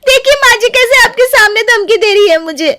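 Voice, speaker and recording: A woman voicing an aggrieved, sharp-tongued young daughter-in-law who complains and accuses in a cartoon family story. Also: extremely high pitch, animated, brisk, voice pushed up, exaggerated voice. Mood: complaining. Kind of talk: animated family story dialogue.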